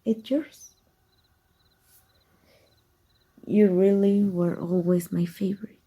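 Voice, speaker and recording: A woman voice actor playing a tender, teasing evil magic teacher in an intimate ASMR roleplay, slow and hushed with a husky, breathy voice. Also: fairly low pitch, full character voice. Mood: amused, tender. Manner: slow, hushed, deliberate, seductive.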